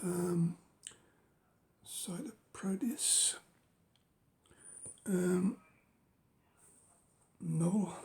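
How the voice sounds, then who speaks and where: A hoarse middle-aged man with a cold, ambling slowly through a casual chat and pausing on every um. His voice is gravelly and creaky, and it often sinks to a breathy whisper. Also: sits high, darkish tone. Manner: halting, many ums and uhs, drops to a whisper. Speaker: book collector vlogger. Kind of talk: casual show-and-tell of books.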